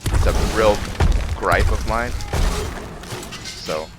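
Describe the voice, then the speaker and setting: A man giving casual gameplay commentary for a roleplay let's play, thinking aloud in a halting way. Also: fairly low pitch, loud music behind the voice.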